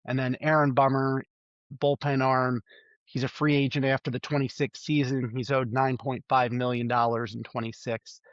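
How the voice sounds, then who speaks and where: A man's voice: medium pitch, level tone, moderate pace, no room sound, highs cut off. A man with a cold and a stuffy nose, hosting a solo sports preview podcast and reeling off stats with frequent ums and uhs. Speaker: podcast host.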